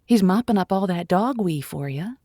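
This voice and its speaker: A woman's voice: on the low side, animated, moderate pace, warm tone, no room sound, clean studio sound. A woman acting as an earnest, encouraging, slightly exasperated romantic advice host in a comedic audio drama, given to quaint pep talk. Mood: tactful, taken aback.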